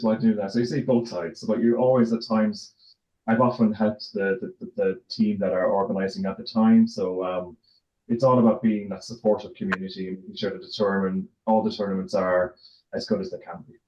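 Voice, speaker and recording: Low-voiced Irish man, roomy muffled sound. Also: steady tone, fairly quick, darkish tone. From Ireland, raised in County Tipperary. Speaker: interview guest, tennis tournament director. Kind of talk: casual conversational interview answers. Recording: roomy echo, dull lo-fi sound.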